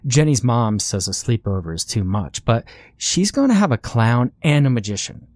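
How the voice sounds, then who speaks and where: A man voicing a chatty little girl who bursts into tears, in a high childish voice. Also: sing-song, normal speed, darkish tone, dry recording, clean studio sound, lightly acted. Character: whiny little girl, chatty then sobbing.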